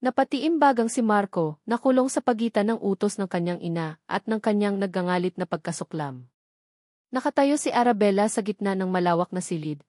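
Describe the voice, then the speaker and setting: A woman reading a dramatic story in measured, scripted narration. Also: mid-range voice, darkish tone, dry recording, studio quality.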